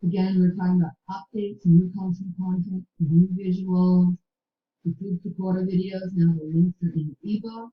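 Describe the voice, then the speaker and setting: A woman's voice: fairly low pitch, steady tone, normal speed, roomy sound, highs cut off. A woman presenting a product to teachers over a call, casual and chatty with many fillers. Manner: casual, filler-heavy, with light laughs. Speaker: publisher sales representative.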